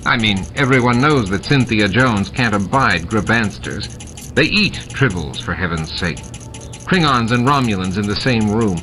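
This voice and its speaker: A man's voice: on the low side, lively intonation, a little slow, bright, forceful tone. Man playing a smug, gossipy gambler, music behind. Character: chatty, needling male gambler with a goofy grin. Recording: music and effects mixed under the voice.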